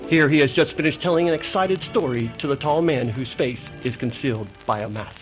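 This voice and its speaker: A man's voice: mid-range voice, fairly quick, faint music behind, natural voice, low-quality recording. A man delivering dramatic scripted narration as the announcer of an old-time radio western.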